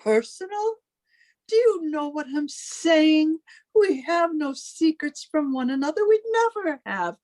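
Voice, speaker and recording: Possessive older sister woman acting, high-voiced and slow, guilt-tripping in theatrical radio-drama style. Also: very animated, a little mellow, no echo. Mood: stern, prying. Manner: theatrical, reproachful, wheedling. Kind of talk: old-time radio melodrama acting.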